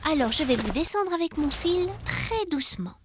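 A woman's voice: high voice, sing-song, normal speed. A woman voicing a friendly female spider who guides children in an educational cartoon, reassuring and teacherly. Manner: reassuring, explanatory. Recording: music behind.

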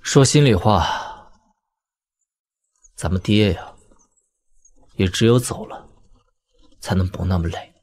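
An actor playing a weary, tender young lord and elder brother in an audio drama, speaking in a measured, reflective way. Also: male voice, deep voice, expressive, darkish tone, exaggerated voice. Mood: sorrowful, reflective. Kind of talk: audio drama dialogue in Mandarin wuxia serial.

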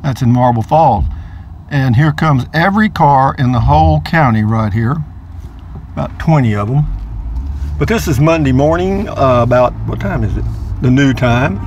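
A man's voice: mid-range voice, expressive, normal speed, a little mellow, a little hiss or hum. An older man, narrating a casual vlog from inside his car, chatty and rambling, repeating words playfully. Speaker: vlogger.

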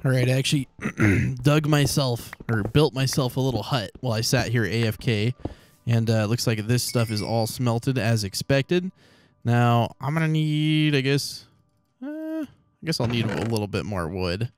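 A man casually commenting on his own gameplay, rambling and correcting himself as he goes, his voice a little hoarse from recording for a long time. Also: medium pitch. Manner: rambling, self-correcting, chatty. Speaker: Let's Play creator.

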